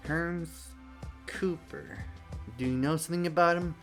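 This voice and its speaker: A man's voice: a little high, steady tone, a little slow, faint music behind, voice pushed up, close to an everyday voice. A man voicing a young male soldier hero who asks puzzled questions. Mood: questioning.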